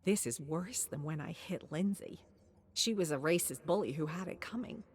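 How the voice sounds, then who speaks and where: A woman voicing an anguished, defensive teenage girl in a story, halting and full of emotion. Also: sits low, animated, slightly rough, no room sound. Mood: distressed, defensive.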